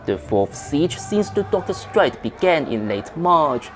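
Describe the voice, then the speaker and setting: A man's voice: a little high, very animated, unhurried, faint music behind. A man reading a scripted news report as a correspondent.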